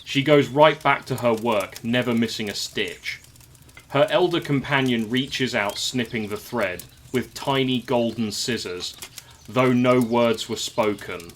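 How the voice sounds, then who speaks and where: A man's voice: medium pitch, a little slow, a little hiss or hum. A man narrating a scripted audio drama in the present tense, as a storyteller.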